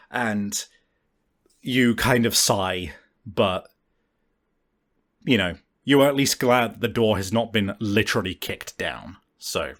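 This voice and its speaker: A man narrating a tabletop game as game master in a casual, sweary, improvised way. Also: lowish voice.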